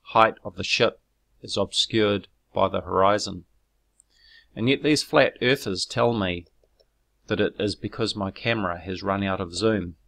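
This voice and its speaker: A man talks through his own footage in a measured, explanatory way, pausing on ums and correcting himself. His voice sits very low with a gravelly fry, and he grows argumentative when answering critics. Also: fairly bright tone. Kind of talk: narrated screen walkthrough.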